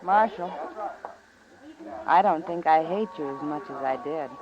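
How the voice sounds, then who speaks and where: A woman's voice: low voice, measured pace, very noisy. An actress playing a wry, bold American saloon woman in a radio western, with a teasing delivery. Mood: wry, grudging. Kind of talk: old-time radio western acting. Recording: muffled old radio recording, crowd behind.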